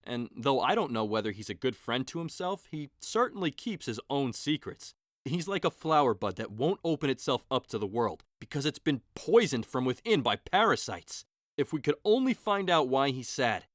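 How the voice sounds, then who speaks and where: An actor playing a worried nobleman father in an audio drama, grave and measured as he speaks of his son. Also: mid-range voice, no echo, studio quality, lightly acted.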